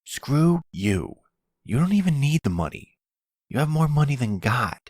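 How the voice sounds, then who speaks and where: Casting: male actor as a defiant young hothead in a mafia audio drama, whiny, pitched up, swooping intonation. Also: big pitch swings, unhurried, darkish tone, no echo, clean studio sound. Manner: whiny, defiant, stammering when rattled. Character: hot-headed, panicky young adult son in mob trouble. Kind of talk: audio roleplay acting.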